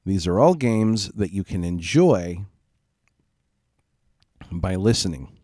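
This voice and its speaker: Stuffy-nosed man, slow and low. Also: lively intonation, dark tone, no room sound, studio quality. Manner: rambling and apologetic, many fillers and restarts. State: congested, stuffy nose from a cold. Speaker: streamer hosting a gaming stream. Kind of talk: casual live-stream chat. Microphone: home streaming mic.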